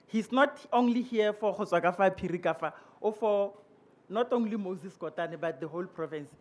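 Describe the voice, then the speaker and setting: A man emcees a formal ceremony, moving between Setswana and English as he hands over to each speaker. His voice is high for a man. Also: moderate pace. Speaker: program director of a ceremony. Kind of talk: ceremony MC announcements.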